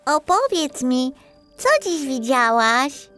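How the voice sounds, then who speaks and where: Polite, curious small girl acted by a woman, childlike and high, bedtime storybook. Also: animated, slow pace, a bit bright, heavily acted. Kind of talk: children's audiobook acting. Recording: music behind the voice.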